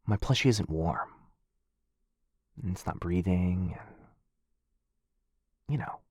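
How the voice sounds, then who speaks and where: A man playing a shy, sweet, earnest dog-person roommate in an intimate boyfriend ASMR roleplay, speaking softly and hesitantly. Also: low-pitched, no echo, clean studio sound. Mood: sad, wistful. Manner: soft, hesitant, halting, bashfully rambling.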